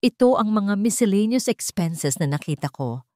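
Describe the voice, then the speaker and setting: A woman voicing an anxious, determined businesswoman who speaks in a tense, hushed way. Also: medium pitch, expressive, moderate pace, dry recording, studio quality, close to an everyday voice.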